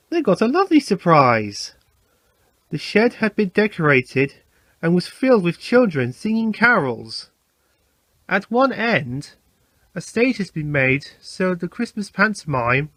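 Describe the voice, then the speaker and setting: A man's voice: sits high, a bit bright, no echo. A man reading a scripted children's story as narrator.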